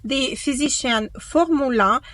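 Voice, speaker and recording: A woman's voice: high voice, slow pace, a bit bright, no echo. A woman who is not a native speaker, reviewing makeup in a casual vlog, hesitating often with fillers and restarts and praising products with eager superlatives. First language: not French. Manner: enthusiastic superlatives. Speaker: beauty vlogger. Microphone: own microphone, at times off.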